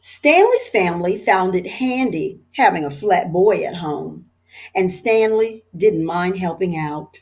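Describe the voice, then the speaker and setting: A woman, a teacher, reading a children's picture book aloud in an expressive storytelling manner. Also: lowish voice, unhurried.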